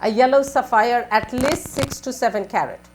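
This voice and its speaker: A woman with a fairly high voice gives a live call-in consultation as a spiritual advisor. She asks leading questions, then repeats her advice for emphasis. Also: moderate pace, noisy background. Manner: repetitive, asking leading questions and giving advice.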